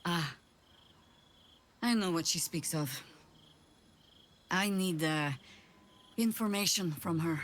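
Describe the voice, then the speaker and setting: An actress playing a weary, proud older woman adventurer in a video game, speaking deliberately, with wry and formal phrasing. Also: fairly low pitch. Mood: brisk, wry. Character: weary, proud older female veteran adventurer, guilt-ridden and stubborn. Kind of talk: dramatic video game dialogue.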